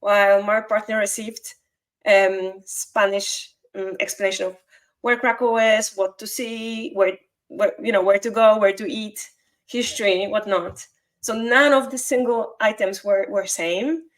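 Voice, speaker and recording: A woman's voice: mid-range voice, steady tone, unhurried, rough recording. Polish-accented woman explaining at length. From Poland. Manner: fluent, explanatory, with small grammar slips and restarts. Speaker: guest SEO consultant. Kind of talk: conversational interview.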